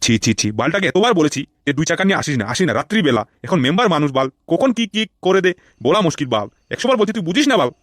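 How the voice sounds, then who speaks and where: Man acting a blustering cartoon gangster, high voice, animated delivery, coarse. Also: racing, no room sound, clean studio sound. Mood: irritated, scolding. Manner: blustering, coarse, swearing constantly. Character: scheming, foul-mouthed male gangster and political rival in comedy cartoon. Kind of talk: Bengali comedy cartoon dubbing.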